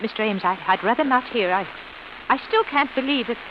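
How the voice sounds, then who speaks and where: An actress playing a prim, sceptical schoolteacher in an old radio drama, proper and reproving, faltering when unsettled. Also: female voice, a little high, animated, brisk, close to an everyday voice. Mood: uneasy. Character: prim, sceptical schoolteacher woman, stern but uneasy. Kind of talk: golden-age radio drama acting. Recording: muffled, hissy old radio recording.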